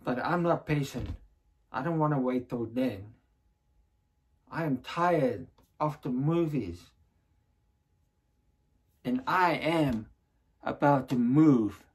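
A man performing a stage monologue as a restless, bitter young man yearning for adventure, speaking in a theatrical, deliberate, sardonic way. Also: mid-range voice, a little mellow, close to an everyday voice. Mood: restless resolve.

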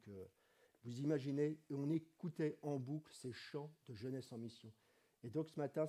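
A middle-aged man with a cold-hoarse voice preaching in a conversational way from a lectern in a church hall. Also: fairly high pitch, dark tone. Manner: conversational preaching, reads scripture with rising emphasis. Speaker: pastor. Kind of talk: sermon. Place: church hall with wooden wall, indoors.

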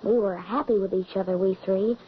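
A woman's voice: mid-range voice, steady tone, brisk, husky, lightly acted. An actress playing an earnest young boy in a 1940s radio drama, with a wistful storytelling manner. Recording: muffled old radio recording.

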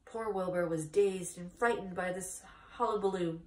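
A woman in her thirties reading a children's book aloud as expressive storytime narration. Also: lowish voice, moderate pace. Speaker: storytime reader. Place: small indoor room, seated by a wall. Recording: echoey room, distant microphone.

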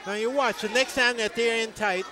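A man analyses a boxing match live from ringside. His voice is high for a man and is heard over a loud crowd in an arena. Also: fairly even intonation, normal speed, background noise. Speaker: boxing commentator.

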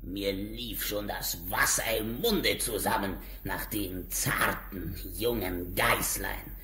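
An actor playing a sly, greedy, hungry wolf with a rough, growling voice in a fairy-tale audio play. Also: male voice, on the low side, slow pace, pushed and bright, full character voice. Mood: grumbling, scheming. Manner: scheming, menacing, theatrical.

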